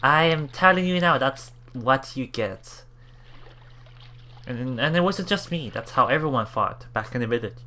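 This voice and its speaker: A man hosting a let's play, reading game text aloud and adding casual, halting commentary with repeated words and exclamations. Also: medium pitch, lively intonation, sharp tone. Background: game music.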